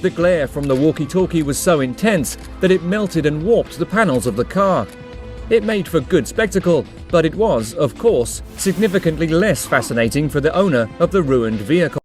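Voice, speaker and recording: Higher-pitched man narrating over music. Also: animated, normal speed. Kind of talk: scripted explainer narration. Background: background music.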